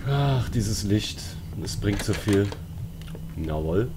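A man's voice: lowish voice, animated, slow, background noise. A man giving casual, slangy horror game commentary, muttering to himself as he plays. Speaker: let's play streamer. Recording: eerie game music behind voice. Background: horror game music and sounds.

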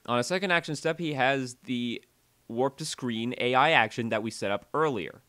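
A man narrating a software tutorial voiceover, halting and often repeating or correcting himself as he explains. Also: medium pitch, dry recording.

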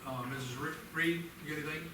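A man chairing a public meeting informally, briskly clarifying and repeating things back. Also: a little high, steady tone. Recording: very echoey room, distant mic, background noise.

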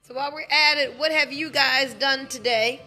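A woman's voice: high-pitched, fairly even intonation, normal speed, bright, forceful tone, faint music behind. A middle-aged woman chatting casually and enthusiastically to live viewers on a handheld phone in an indoor gym. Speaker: livestream host, wellness business promoter. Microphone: handheld phone at arm's length.

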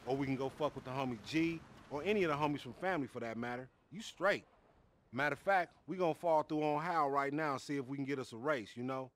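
An actor playing a boisterous, friendly young street hustler in a video game, with an American accent and slangy, swaggering delivery. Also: male voice, medium pitch, fast, slight background noise, lightly acted. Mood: friendly, boastful.

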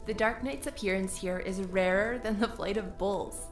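A woman's voice: mid-range voice, normal speed, faint music behind, natural voice. A woman playing a young, confident, plain-spoken dancer in a fantasy audio story. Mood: wary. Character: young red-haired dancer, brisk confident rebel helper.

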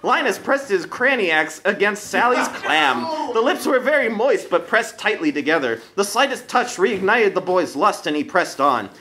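A man's voice: high-pitched, very animated, moderate pace, few pauses, a crowd in the background. A man reading a scripted comic story as a theatrical, dramatic narrator.

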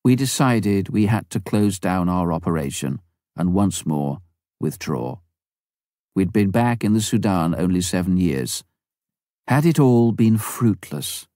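A man with a very low voice reads a memoir as an audiobook, measured and earnest. The recording is close and dry, with no room sound. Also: lively intonation, warm tone, dry recording. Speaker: audiobook reader. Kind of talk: first-person memoir narration.

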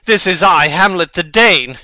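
An actor playing a brooding, impassioned young prince in a dramatic Shakespeare reading, with deliberate theatrical delivery. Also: male voice, high voice, expressive, pushed and bright, no room sound, full character voice. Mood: impassioned, defiant.

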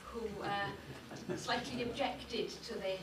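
A woman's voice: medium pitch, steady tone, recorded from a distance, noisy background. Welsh woman lecturing in an echoey hall. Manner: measured, lists names with careful emphasis. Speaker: university lecturer. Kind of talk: public lecture. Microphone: far room recording. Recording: echoey hall recorded from afar.

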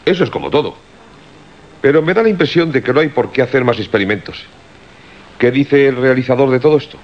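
An actor with a husky voice and a Castilian Spanish accent playing a cautious, concerned radio crew colleague who reasons calmly in a radio drama. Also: male voice, medium pitch, fairly quick, natural voice. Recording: muffled radio recording.

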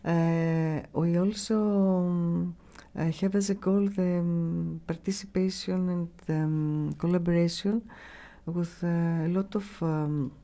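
A middle-aged woman with a Greek accent, giving halting, unscripted interview answers as she searches for words. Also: lowish voice, fairly even intonation, dark tone. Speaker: radio station staff member, interviewee. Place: small room, radio studio. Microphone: studio mic on boom arm.

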